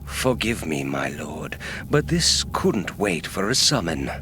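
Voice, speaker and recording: A man plays a servile henchman of a fantasy audio drama. He speaks slowly in a lowish, very mobile voice, formal and eager to obey. The recording is noisy. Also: sing-song, exaggerated voice. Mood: apologetic. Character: obsequious male henchman reporting to his dark lord. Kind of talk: fan audio drama acting.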